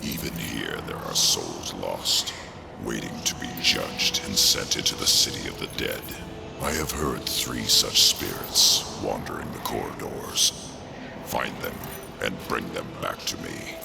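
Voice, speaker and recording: A solemn, imperious undead lord in a game cutscene. The voice is heavily creaky and slow, with theatrical swings in pitch. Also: male voice, animated, heavily acted. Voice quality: gravelly, creaky. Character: solemn, haughty male undead lord of the dead. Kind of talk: video game cutscene acting. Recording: loud cinematic music behind.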